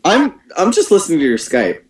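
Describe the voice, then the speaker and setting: A man's voice: fairly high pitch, huge pitch swings, fairly quick, reverberant, a crowd in the background. A man in casual livestream chat over an internet call, hesitant and full of puzzled questions. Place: online voice call.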